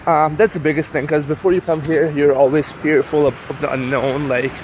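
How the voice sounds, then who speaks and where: A man's voice: a little high, steady tone, brisk, a little mellow. Young man vlogging on a noisy street. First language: Urdu. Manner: loose, rambling, casual with frequent fillers. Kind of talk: casual walking vlog. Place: city street outdoors. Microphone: handheld camera while walking. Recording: street traffic noise behind.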